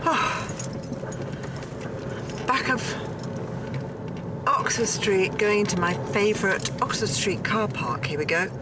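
Excitable Englishwoman, distant mic amid loud noise. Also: mid-range voice, slow pace, long pauses. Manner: excitable, exclamatory, talking to herself. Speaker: performance artist narrating her own outing. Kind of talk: spontaneous spoken audio diary while out and about. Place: outdoors, in a moving car and shops. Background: loud traffic and vehicle ambience.

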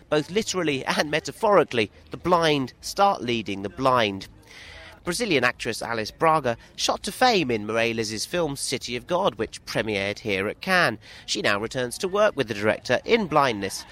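A male film presenter, reading measured narration and then asking questions with stammering repeats. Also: medium pitch, a bit bright. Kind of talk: scripted narration and interview.

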